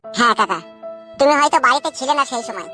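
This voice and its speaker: A man's voice: very high-pitched, expressive, quick pace, bright, forceful tone, soft background music, heavily acted. A man voicing a polite, aggrieved young village man in a comedy cartoon. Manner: deferential, explanatory.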